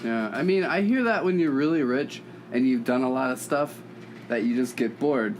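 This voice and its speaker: A man's voice: mid-range voice, lively intonation, moderate pace. A man of thirty-one hosting a casual podcast chat, joking and irreverent, in a band's jam room. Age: 30s. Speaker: podcast host. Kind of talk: casual interview banter. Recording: noisy room recording.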